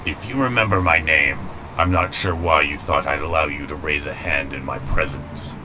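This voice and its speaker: Very deep, grim robot warlord. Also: male voice, noisy background. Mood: cold, menacing. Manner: formal, stern, deliberate phrasing. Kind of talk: dramatic comic dub acting. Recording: music behind the voice. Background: music.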